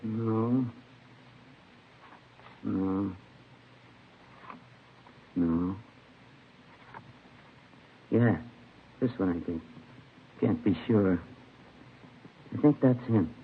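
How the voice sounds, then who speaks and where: A man playing a gruff, talkative drunk-tank prisoner with an American accent and blunt street talk in a vintage radio crime drama. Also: fairly low pitch, extremely slow, long pauses. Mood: hesitant. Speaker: radio actor. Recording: old muffled radio broadcast, faint hiss.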